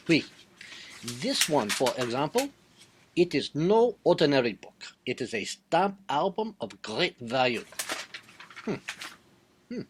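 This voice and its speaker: An actor playing a suave, clever detective with a French accent, speaking with formal, precise politeness in a radio mystery style. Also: male voice, a little high, background noise. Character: suave, clever, courteous foreign male detective, unhurried and self-assured. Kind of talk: old-time radio mystery acting.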